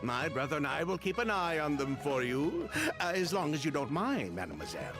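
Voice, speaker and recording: A sly, affable merchant, theatrically polite and evasive. His pitch swings dramatically in a casual, breezy anime game delivery, with faint music underneath. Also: very animated, brisk. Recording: music behind.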